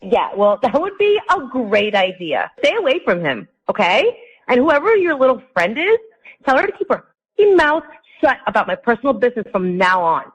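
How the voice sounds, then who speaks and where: A woman's voice: a little high, big pitch swings, normal speed, fairly bright tone, slightly rough, low-quality recording. A woman in a guarded phone conversation, answering in a curt, defensive way. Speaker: person phoned by a radio show.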